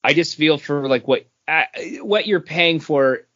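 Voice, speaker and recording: A man's voice: on the high side, a little slow, fairly bright tone, no room sound, dull audio. A man co-hosting a podcast, giving his take in casual, conversational chat. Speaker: podcast co-host reviewing comics.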